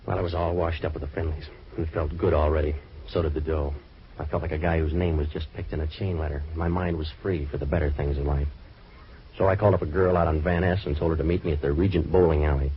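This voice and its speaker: An actor playing a wisecracking, sardonic private detective with an American accent in an old-time radio drama, in clipped slangy lines. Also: male voice, a bass voice, steady tone, fast. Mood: wry narration. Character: wisecracking hard-boiled male private detective. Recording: muffled old radio recording with hiss.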